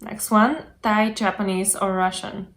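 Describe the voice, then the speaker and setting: A woman's voice: medium pitch, flat delivery, measured pace, fairly bright tone. A woman hosting a casual interview over a video call, chatty and filling her talk with yeah and like. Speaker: host.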